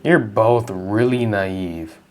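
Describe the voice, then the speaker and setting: A woman's voice: very deep voice, slow pace, a little mellow. A woman giving casual, blunt and sarcastic reaction commentary over a game. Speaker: gaming video creator.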